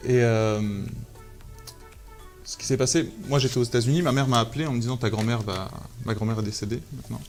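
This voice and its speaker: A man's voice: medium pitch, steady tone, fast, a little mellow. Hesitant man with faint music behind. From Algeria. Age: 30s. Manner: hesitant, many fillers and restarts. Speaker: guest photographer. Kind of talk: casual interview talk.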